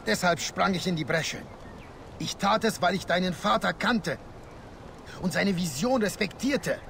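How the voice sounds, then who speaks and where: An intense rebel commander in a dramatic video game scene. His gravelly voice is fairly high and forceful, full of reproach, moving from hushed to loud. Outdoor noise sits clearly behind him. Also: unhurried, exaggerated voice. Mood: heated, insistent. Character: intense, reproachful male rebel leader, driven by tradition. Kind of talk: dramatic video game acting in German dub.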